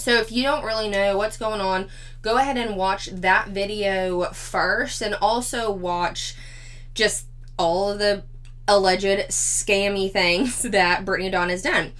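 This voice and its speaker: Vlog host, woman, mid-pitched, slightly hoarse, chatty delivery. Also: steady tone, a little slow, a bit bright. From Four-State area of Missouri, Arkansas, Kansas, Oklahoma. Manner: chatty, laughs often, restarts phrases. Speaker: YouTube commentary host. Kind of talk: casual commentary vlog.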